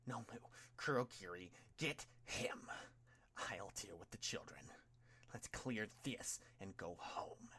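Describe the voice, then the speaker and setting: A man's voice: lowish voice, animated, slow pace, sharp tone, slightly rough, heavily acted. A man voicing a bitter, mocking young male villain with a strained, whispery voice and theatrical delivery. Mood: curt, commanding.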